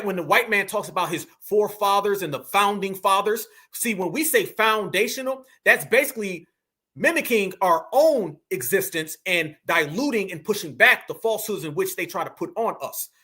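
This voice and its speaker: Emphatic high-voiced man commenting on clips. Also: bright, forceful tone, husky. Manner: emphatic, addresses viewers as family. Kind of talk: casual reaction commentary.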